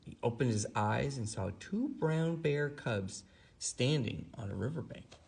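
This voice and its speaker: A man reading a bedtime story to a child, expressive, with drawn-out emphasis on key words. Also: mid-range voice, vocal fry, slightly rough.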